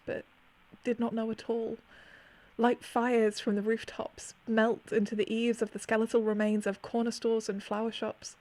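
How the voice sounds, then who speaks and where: A woman's voice: fairly high pitch, a little mellow. A woman playing a haunted narrator of eerie memories, reciting a poetic monologue in a solemn, incantatory way. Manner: solemn, incantatory, repetitive phrasing.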